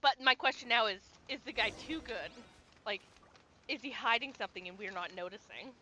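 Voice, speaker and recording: Bright, blunt woman streamer. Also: fairly high pitch, a little fast, slight background noise. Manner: chatty, blunt, swears freely. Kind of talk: casual game commentary.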